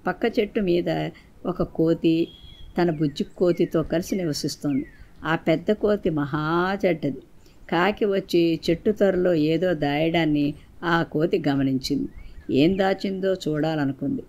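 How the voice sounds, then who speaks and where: A woman's voice: low-pitched, a little slow, a little mellow. A woman narrating a scripted moral folktale for children as a storyteller.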